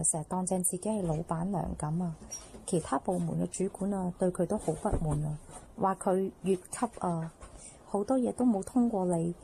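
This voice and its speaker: A woman with a Hong Kong Cantonese accent playing a sly, flattering office schemer who needles and whines in a radio drama. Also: lowish voice, gravelly, a little hiss or hum, poor audio. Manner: whiny, needling, drawn-out sentence endings.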